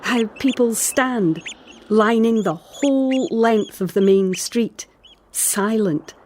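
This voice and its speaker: A woman playing a troubled, defensive island woman in an audio drama, speaking in a halting, distressed way. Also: on the high side, darkish tone, a little hiss or hum. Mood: defensive, bitter.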